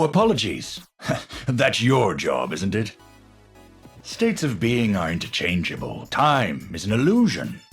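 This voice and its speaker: An actor playing an eccentric older physicist in a video game, gentle and brilliant yet unhinged, speaking formally and precisely with a breathy, husky voice. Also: male voice, mid-range voice, heavily acted. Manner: precise, formal, musing, erratic swings into fervour. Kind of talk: video game character voice lines.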